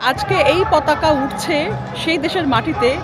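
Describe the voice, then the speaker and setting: A woman's voice: very high voice, moderate pace, over a noisy crowd. A woman with an Indian Bengali accent, narrating a vlog as if talking straight to her viewers. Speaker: travel vlogger. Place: outdoors in a city square and voice-over.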